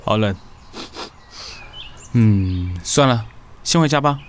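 A man's voice: on the low side, expressive, takes it slowly, a little hiss or hum. An actor with a Taiwanese Mandarin accent playing an exasperated young man who mutters and grumbles in colloquial speech in an audio drama. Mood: puzzled, muttering. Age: young adult. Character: exasperated, puzzled young man living alone. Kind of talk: podcast audio drama, talking to himself.

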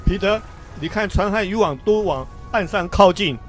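An actor playing a steady, chiding old fisherman in a biblical radio drama, with a theatrical, admonishing delivery. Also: high-pitched, moderate pace, over music, natural voice. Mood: excited.

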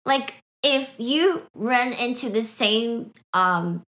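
Bright, animated woman podcast host. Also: fairly high pitch. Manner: animated, teasing, quick to laugh. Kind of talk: casual chat. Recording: roomy echoey recording.

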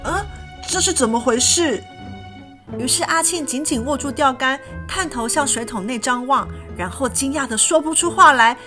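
High-voiced woman narrating a children's story. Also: expressive, normal speed, hardly any pauses. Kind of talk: expressive scripted storybook narration. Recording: music behind the voice.

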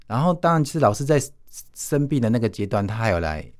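A man's voice: mid-range voice, sing-song, fairly quick. A man in his forties with a Taiwanese Mandarin accent, recalling his own life informally as an interview guest. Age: 40s. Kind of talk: informal personal recollection in an interview.

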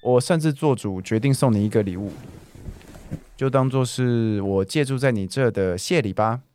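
A man's voice: medium pitch, normal speed, noisy background, natural voice. A man playing an easygoing, playful young housemate. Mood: eager, generous. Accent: Taiwanese Mandarin. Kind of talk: radio drama acting.

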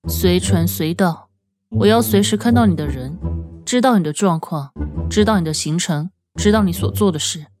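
A woman voicing a cold, stern female bodyguard, curt and deadpan, in an audiobook drama. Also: on the low side, normal speed, over music, voice pushed down, lightly acted. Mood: firm, smug.

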